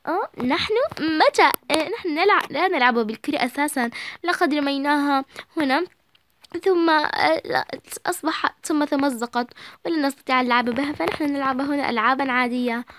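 A woman's voice: high voice, a little fast, fairly bright tone. A woman voicing polite poor neighbor children who earnestly plead their innocence.

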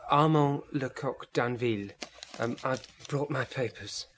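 A man's voice: medium pitch, measured pace, a little hiss or hum, close to an everyday voice. An actor playing a meek, anxious, defeated man in his thirties who stammers hesitantly in a radio drama. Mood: nervous. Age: 30s. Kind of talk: old radio drama acting.

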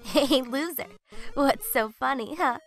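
A woman's voice: high voice, slow, faint music behind. A woman voicing a mischievous child in a comic dub, silly and teasing. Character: cheeky, mischievous child joker. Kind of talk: comic dub cartoon acting.

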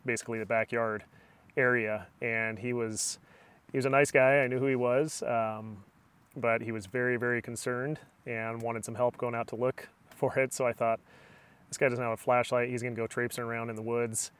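A man's voice: medium pitch, moderate pace, smooth. A middle-aged man chatting casually and telling stories on a camping vlog, recorded inside a small tent at night. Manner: relaxed storytelling, chatty. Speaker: outdoor vlogger. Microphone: camera on a tripod, then close phone at arm's length.

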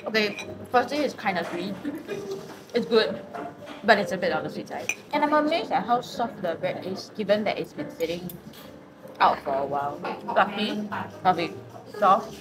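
Lively-pitched young woman chatting. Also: moderate pace, pauses often. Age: young adult. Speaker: vlogger's dining companion. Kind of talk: casual chat. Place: small cafe indoors.

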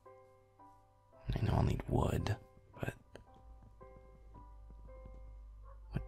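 A man's voice: deep voice, creaky, sharp tone, heavily acted. A man playing a grumpy, defensive werewolf boyfriend in a roleplay audio, muttering sarcastically as he plays a video game. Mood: irritated. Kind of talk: roleplay audio, gaming chat with listener.

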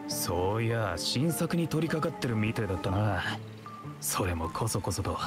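A gruff male bandit from a game, grumbling in rough slang. His pitch moves about a lot, with clear music underneath. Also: big pitch swings, moderate pace. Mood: gossiping. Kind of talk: anime-style game voice acting.

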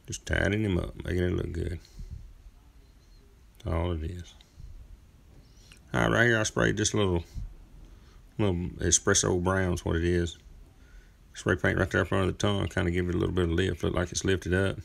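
A man in his thirties with a deep, heavily gravelly voice talks through his carving work outdoors. He keeps a flat, even tone and rambles in a relaxed, folksy way, full of small fillers. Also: moderate pace, long pauses. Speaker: chainsaw carver. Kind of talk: casual workshop narration. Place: outdoor driveway workspace.

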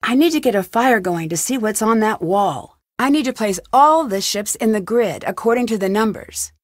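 A woman's voice: medium pitch, animated, moderate pace, no echo, clean studio sound, natural voice. An actress playing a curious, upbeat young woman detective in an adventure game, thinking aloud in short scripted remarks. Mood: curious, focused.

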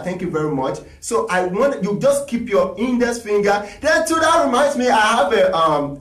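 A man leads a live talking drum lesson, chatty and encouraging as he reads out requests. His voice sits high, and it sounds distant in an echoey room, picked up by a camera mic. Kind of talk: live-streamed music lesson.

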